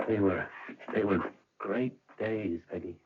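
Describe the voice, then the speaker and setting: A man's voice: on the low side, slow, noisy background. A middle-aged man playing an obsessive, bitter husband in a radio mystery drama, insistent and repeating himself for emphasis. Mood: nostalgic. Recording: muffled, dull old radio recording.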